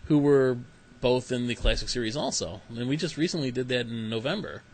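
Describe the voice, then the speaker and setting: A man's voice: medium pitch, a little fast, rough recording. A man hosting a podcast interview in an easygoing way, laughing often. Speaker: podcast host.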